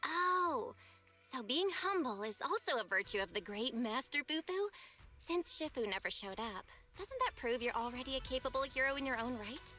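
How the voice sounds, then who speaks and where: A woman's voice: high-pitched, expressive, moderate pace, music in the background. A woman playing a cheerful, admiring young junior disciple in an anime-style game.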